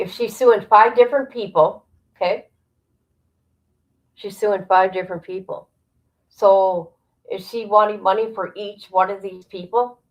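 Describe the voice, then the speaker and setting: Slow-talking woman with a gravelly creak. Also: medium pitch, highs cut off. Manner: blunt, incredulous, gossipy. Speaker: livestream host reacting to a document. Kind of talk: casual livestream commentary, reading aloud and reacting.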